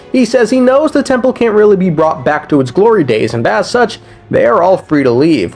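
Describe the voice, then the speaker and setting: A man narrating a casual scripted recap in an irreverent manner. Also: on the high side. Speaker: video narrator recapping game lore.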